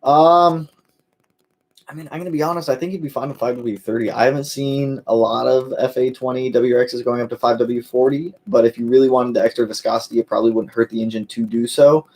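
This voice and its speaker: A man's voice: mid-range voice, steady tone, fairly quick, darkish tone. Laid-back man hosting a car Q&A. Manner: laid-back, many fillers, calls viewers "my man". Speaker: host of a car channel. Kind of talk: casual live-stream Q&A.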